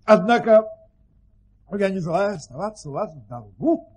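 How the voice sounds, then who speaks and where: Fairy-tale sultan: high, husky, pompous and greedy, very animated delivery. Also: male voice, moderate pace. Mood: grandly generous. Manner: pompous, theatrical.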